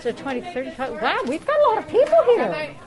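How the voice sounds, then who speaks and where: A woman's voice: sits high, wildly swooping pitch, a little fast. A woman hosting an event, casually addressing the group in a chatty, mock-schoolteacher manner. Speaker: event host. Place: restaurant banquet room. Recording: live in a busy crowded room. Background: chattering luncheon crowd.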